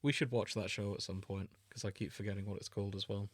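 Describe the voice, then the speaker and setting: A man's voice: on the low side, steady tone, fast, no echo, studio quality. A man co-hosting a podcast in casual, unscripted chat, with an easygoing conversational way of talking. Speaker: podcast co-host.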